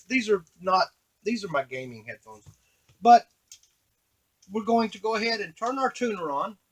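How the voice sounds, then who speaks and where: High-pitched man giving a hands-on demo. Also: fairly bright tone, poor audio. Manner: explanatory, step-by-step, addressing the viewer. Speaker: device demonstrator. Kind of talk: casual unscripted hands-on product tutorial.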